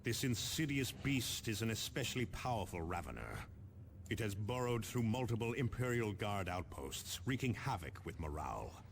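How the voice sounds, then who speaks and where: An actor playing a formal, resolute space marine captain giving mission briefings in a video game. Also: male voice, fairly low pitch, big pitch swings, slight background noise. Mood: measured. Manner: formal, commanding, deliberate.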